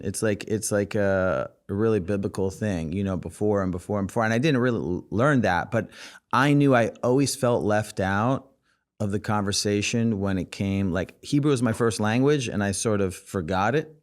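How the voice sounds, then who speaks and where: A man with a fairly low, clean and resonant voice, talking loosely as a guest on a podcast. He rambles and circles back, dropping "like" and "you know" all through. The sound is a close, tidy studio recording. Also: moderate pace. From United States, Israeli family. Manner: rambling, full of "like" and "you know what I'm saying". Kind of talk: casual interview conversation.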